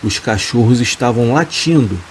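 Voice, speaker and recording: A man reading a first-person horror story aloud in a slow, calm, measured way, as a storytelling narrator. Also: medium pitch, very noisy. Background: rain sounds.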